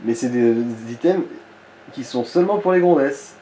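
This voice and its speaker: A man's voice: mid-range voice, lively intonation, moderate pace, mellow. A man chatting casually as he co-commentates a video game, explaining things and slipping in joking asides. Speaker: let's play co-commentator. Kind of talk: casual gaming chat. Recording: roomy echo, background noise.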